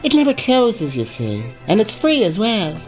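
Radio comedy horror: amiable, tipsy old Irish puka rabbit, rambling and repeating himself, very lively intonation. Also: male voice, sits high, normal speed, soft background music, rough recording. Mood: sheepish, easygoing. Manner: hesitant, rambling, repeats words. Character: tipsy, amiable old man turned giant rabbit spirit. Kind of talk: comic horror radio play acting.

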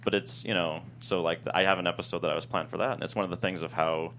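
Low-pitched male writer for an informal panel chat, loose and rambling, faint hum and noise behind. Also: steady tone, fast, a bit bright. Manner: rambling, frequent "like" and "you know". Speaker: screenwriter interviewed about his TV pilot.

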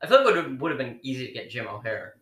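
A man hosting a podcast, easing into questions with fillers and stumbles. His voice is bright and sits in the middle range. The recording carries an echoey room sound. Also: medium pitch, very animated, very fast. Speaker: podcast host. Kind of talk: interview questions.

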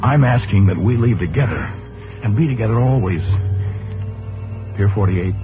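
Actor as deep-voiced ardent lover, loud music. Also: male voice, lively intonation, normal speed, lightly acted. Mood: ardent pleading. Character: ardent, pleading well-bred gentleman lover. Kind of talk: 1940s radio melodrama acting. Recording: tinny 1940s radio, loud music behind.

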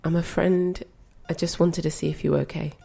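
An actress playing a young granddaughter in an audio play, gentle, casual and a little awkward as she talks. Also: fairly low pitch, flat delivery, a little fast, gravelly, slightly rough, full character voice. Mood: tentative, gentle.

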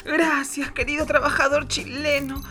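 Man doing a shrieky-high delirious drag siren. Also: flat delivery, moderate pace, background noise. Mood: excited, grandiose. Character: delirious campy drag desert siren showgirl. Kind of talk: campy radio play acting.

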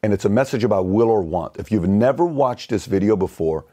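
A man's voice: fairly low pitch, brisk. A man hosting a motivational video, telling stories to the camera and acting out worried voices. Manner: animated storytelling, acts out worried voices.

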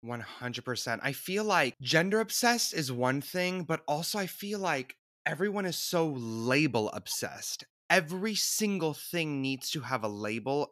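Guy hosting a chat, bright voice, agrees a lot, casual. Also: medium pitch, no echo, clean studio sound. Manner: agreeable, quick affirmations. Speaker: host.

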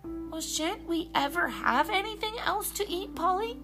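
A woman voicing a small, sweet, earnest little girl, slow and wondering. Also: extremely high pitch, lively intonation, music in the background, pitched above the performer's own voice, heavily acted.

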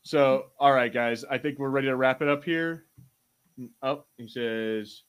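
A man's voice: mid-range voice, fairly even intonation, measured pace, clear, resonant tone. A man hosting a podcast in casual, enthusiastic, informal fan chat. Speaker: podcast host.

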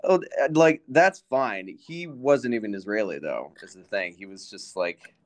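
A man co-hosting a relaxed podcast chat, his voice sitting a little low. He keeps things laid-back and drops jokes with a dry touch. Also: measured pace. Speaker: podcast co-host. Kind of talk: casual chat.

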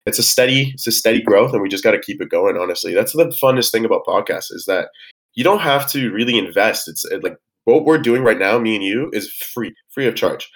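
A man's voice: lowish voice, animated, a little fast, fairly bright tone. A congested young man in his twenties, hosting a podcast in loose, rambling casual chat, recording remotely over a voice call. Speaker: podcast host. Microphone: headphones on a voice call.

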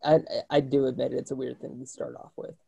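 A woman's voice: a bass voice, flat delivery, quick pace, creaky, mellow, slight background noise. A woman in her early twenties hosting a casual podcast chat, blunt with dry humor. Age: 20s.

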